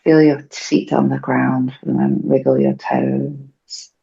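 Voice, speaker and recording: Female meditation teacher. Soft-toned, husky delivery with some fry, soothing and unhurried. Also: very deep voice, little pitch movement. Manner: gentle, hushed, unhurried phrasing. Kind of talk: slow, soothing guided meditation. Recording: dull, muffled lo-fi recording.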